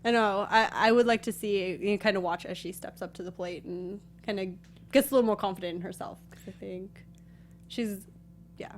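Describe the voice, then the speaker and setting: A woman's voice: mid-range voice, brisk. A woman chatting casually and agreeably as a co-host of a movie review show. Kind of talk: casual chat.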